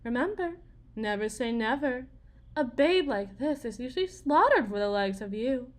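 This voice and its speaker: A woman playing a desperate, cunning battered young mother bargaining in a dramatic audio roleplay, halting, wry and theatrical. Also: on the high side, animated, natural voice. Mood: coaxing, bargaining. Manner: halting, wry, theatrical, with repeated words and pauses.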